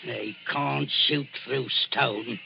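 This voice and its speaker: An actor playing a brash, ruthless Cockney grave robber, rough and blustering, in 1940s radio style. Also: male voice, medium pitch, steady tone, very slow, background noise, full character voice. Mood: urgent, defiant. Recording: muffled vintage radio recording with music.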